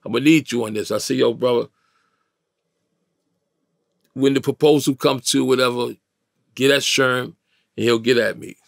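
New york guy in his 50s, gravelly fry in the voice, slangy storytelling, close dry studio sound. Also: medium pitch, fairly even intonation, normal speed, long pauses, no echo. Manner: streetwise, slangy, emphatic. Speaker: interview guest. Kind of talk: casual storytelling in an interview. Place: small indoor recording studio, dry sound.

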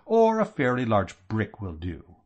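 A man voicing an irate, sharp-tongued aristocratic aunt in an audiobook, scolding with scathing exasperation. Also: on the low side, wildly swooping pitch, measured pace, highs cut off, exaggerated voice. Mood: sardonic. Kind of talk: audiobook character voice.

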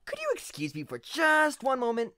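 An actor playing a young, dutiful, easily flustered male unicorn squire, hesitant and expressive, in an animated series. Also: sits high, normal speed, exaggerated voice. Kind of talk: animated fan series voice acting. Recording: music behind the voice.

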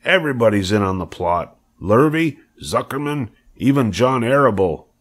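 A man's voice: medium pitch, expressive, normal speed, pitched above the performer's own voice, close to an everyday voice. A man playing a gloomy old female sheep in a storybook, speaking in a matter-of-fact, snappish, weary way. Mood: grim, weary.

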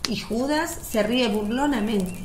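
A woman's voice: on the high side, a little slow. A woman reading scripted narration for a radio drama. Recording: roomy echo, faint background noise.

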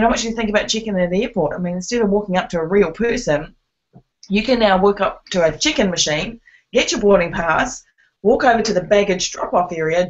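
Slightly croaky woman pitching on a call. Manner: earnest, persuasive, many fillers. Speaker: webinar presenter. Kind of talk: sales presentation on a webinar. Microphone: conference call line. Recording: dull lo-fi call audio.

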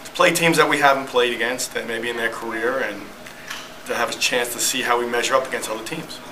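Quick-talking middle-aged coach, flat delivery. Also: male voice, mid-range voice. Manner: quick, matter-of-fact explanations. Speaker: basketball head coach. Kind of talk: postgame press conference answers. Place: press room in front of a team backdrop. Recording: noisy press room audio.

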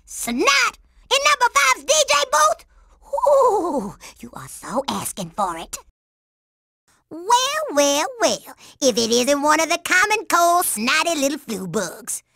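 A woman's voice: sits high, very animated, takes it slowly, creaky, bright, forceful tone, no echo, clean studio sound, full character voice. A woman voicing a sassy, tough, confident young girl secret agent in a cartoon video game, with streetwise slang. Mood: cocky, indignant. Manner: streetwise slang, refers to herself in third person.

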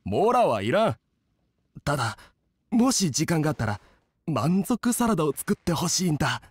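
An actor playing a friendly young male inn cook in an anime game, with rough, casual, hearty speech. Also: a little high, big pitch swings, normal speed, dry recording, studio quality. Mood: helpful.

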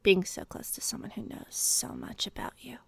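A woman playing a teasing, obsessed female detective in a whispery, deliberate ASMR roleplay. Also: close to an everyday voice. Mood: teasing, probing. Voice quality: breathy, whispery. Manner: slow, deliberate, teasing, pausing. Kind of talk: ASMR roleplay, scripted intimate monologue.

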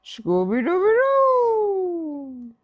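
A woman's voice: extremely high pitch, huge pitch swings, drawn-out words, dry recording, phone-quality sound, voice pushed up, heavily acted. A woman voicing a goofy, cowardly talking great dane with slurred, garbled dog-speak, from a children's storybook. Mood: exuberant.

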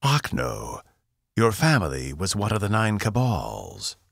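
A man's voice: fairly low pitch, huge pitch swings, a little slow, creaky, slightly rough, no echo, studio quality, voice pushed up, full character voice. A man voicing a wary, imperious female alien priestess who speaks sharply and formally. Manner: sharp, commanding, archaic.

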